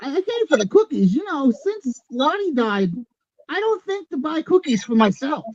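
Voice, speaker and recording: Woman acting a high, folksy country gossip, muffled. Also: big pitch swings, normal speed, a little mellow, no echo. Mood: plaintive, blunt. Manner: folksy, plain-spoken, rustic grammar. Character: folksy, blunt rural widowed gossip. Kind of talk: staged reading of a comic play.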